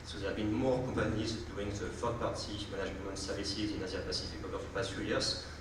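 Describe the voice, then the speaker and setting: A man with a French accent speaks rapidly and fluently, answering as a panellist. His low voice reaches the mic from a distance. It is recorded in a big, echoing hall with steady background noise. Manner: quick, fluent explaining. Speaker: panellist, hotel development executive. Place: large conference hall.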